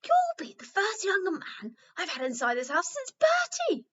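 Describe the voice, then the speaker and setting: A woman's voice: extremely high pitch, wildly swooping pitch, normal speed, fairly bright tone, muffled recording, voice pushed up, full character voice. A woman voicing a kindly, chatty old lady in a storybook, with a merry, reassuring manner. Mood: warm, reassuring.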